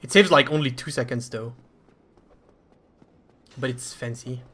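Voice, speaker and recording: A man's voice: mid-range voice, unhurried, fairly bright tone, slight background noise. A man with a French accent commentating live over his own speedrun in a chatty, self-deprecating way.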